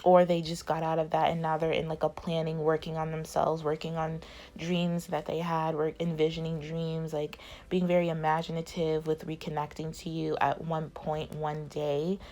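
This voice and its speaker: A woman's voice: sits low, completely flat delivery, normal speed, dark tone. Rambling woman reading tarot, fading voice. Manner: rambling, many filler likes. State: losing her voice. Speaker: tarot reader. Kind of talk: casual tarot reading monologue.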